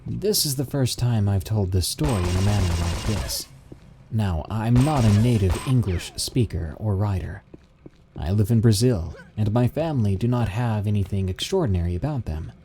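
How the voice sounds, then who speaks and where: Male narrator, low voice, mellow tone, steady measured reading, scripted storytelling. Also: a little hiss or hum. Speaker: narrator reading submitted stories.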